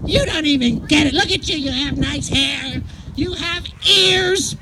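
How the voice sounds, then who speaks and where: A woman voicing a whiny, self-pitying male puppet creature with melodramatic, wailing delivery and drawn-out words in a live puppet show. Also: high-pitched, a loud crowd, low-quality recording. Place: outdoors on a lawn, behind puppet stage. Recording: outdoor recording with audience noise.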